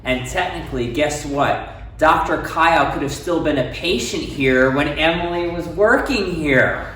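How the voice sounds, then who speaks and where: A man's voice: fairly high pitch, measured pace, a bit bright. A man hosting a casual interview, introducing his guest and asking simple questions. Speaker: interviewer, doctor. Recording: echoey room, distant mic, faint noise.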